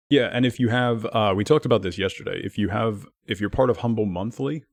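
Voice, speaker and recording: A man streaming live, chatting casually with his viewers and thanking them by name, his talk full of lively swings up and down. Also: lowish voice, moderate pace, dry recording.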